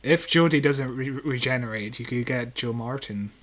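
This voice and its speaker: A man's voice: medium pitch, normal speed, fairly bright tone. A man co-hosting a podcast, chatting casually as a fan in a dry, teasing way. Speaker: podcast co-host.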